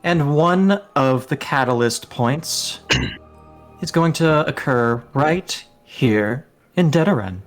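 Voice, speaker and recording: A man's voice: a little high, expressive, slow, faint music behind. A man voicing a smug, sardonic tabletop villain, condescending and theatrical as he lays out his schemes. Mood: gloating, grandiose.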